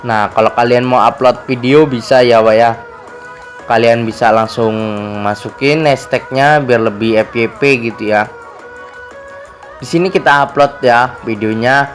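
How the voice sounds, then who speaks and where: Casting: male tutorial host, mid-pitched slightly hoarse voice, chatty casual delivery, music underneath. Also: a little slow. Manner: chatty, repetitive verbal tags. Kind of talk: casual screen tutorial narration.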